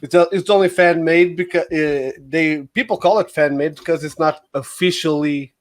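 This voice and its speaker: A man hosting a livestream, putting questions to a guest in a casual, halting way. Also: a little high. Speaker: livestream host, interviewer. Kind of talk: casual interview chat.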